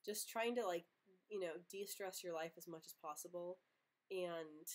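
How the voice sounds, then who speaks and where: A woman's voice: on the low side, normal speed. Young woman who stutters often. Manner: stutters often, with repeated sounds and blocks; earnest, open, laughs easily. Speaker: livestream host answering viewer questions. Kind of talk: casual live Q&A chat.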